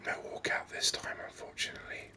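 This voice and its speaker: A man speaks entirely in a very bright, sibilant whisper. He narrates a video game ASMR-style, breathy and close to the mic. His delivery is unhurried, as if thinking aloud. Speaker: gaming video host.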